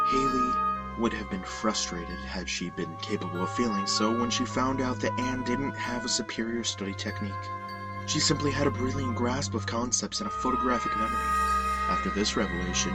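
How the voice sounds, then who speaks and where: Low-voiced man narrating horror over music. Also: recorded from a distance, poor audio. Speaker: story narrator. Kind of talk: scripted horror story narration.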